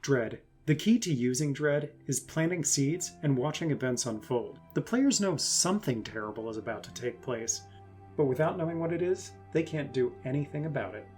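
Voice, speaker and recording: A man's voice: mid-range voice, animated, moderate pace, a little mellow. Man reading rulebook narration over music. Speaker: reader of rulebook quotations. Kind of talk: scripted read-aloud narration.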